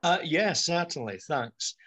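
A middle-aged man with a Scottish accent, speaking as a guest on a podcast discussion in a measured, articulate way. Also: a little high, lively intonation, sharp tone. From Scotland.

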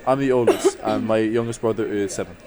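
A man's voice: lowish voice, fairly quick, a loud crowd. A young Irish man, a guest on a podcast, joking and teasing in casual chat. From Ireland. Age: young adult.

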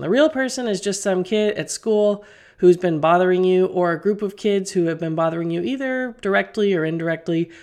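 A middle-aged woman hosting a podcast as a teacher, getting over a cold, explaining things earnestly with fillers and restarts. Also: lowish voice. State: recovering from a cold. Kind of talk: solo podcast talk.

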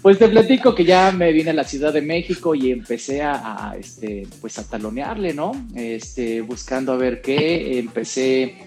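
A man's voice: mid-range voice, measured pace, hardly any pauses, over music. A middle-aged man from Costa Rica, talking casually as a guest who often laughs. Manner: chatty, relaxed, laughs often. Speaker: guest, actor and presenter. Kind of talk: casual conversation.

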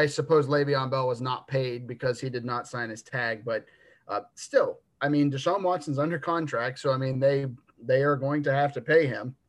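A man in his twenties speaks in a middle-pitched voice on a casual sports podcast. He delivers dry jokes and works through his takes out loud, often restarting his sentences. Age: 20s. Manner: dry humor, thinking aloud with restarts. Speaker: podcast co-host.

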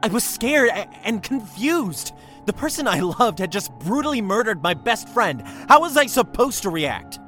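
A man's voice: high-pitched, big pitch swings, normal speed, sharp tone, faint music behind, exaggerated voice. A man playing a troubled, easily frightened young man in an audio drama. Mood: anxious.

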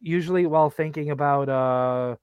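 A man's voice: fairly high pitch, normal speed, clean tone, dry recording, phone-quality sound. Crude, rambling man in his thirties. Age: 30s. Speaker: podcast co-host. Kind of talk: casual crude banter.

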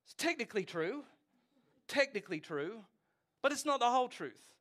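Male pastor in his 40s, bright high voice, conversational sermon with quips and questions to the room. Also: sing-song, moderate pace. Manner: chatty, jokey, asks the audience questions.

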